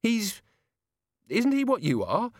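A man doing a sharp, demanding young woman. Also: high voice, wildly swooping pitch, takes it slowly, no room sound, clean studio sound, voice pushed up, heavily acted. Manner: indignant, pressing questions.

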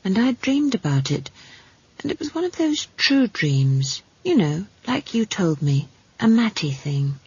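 Woman as an anxious young girl, breathy and higher. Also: wildly swooping pitch, moderate pace, dry recording, highs cut off, heavily acted. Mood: earnest, downcast. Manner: earnest, hesitant, soft-spoken. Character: young girl, apprentice magician, earnest, anxious and unsure.

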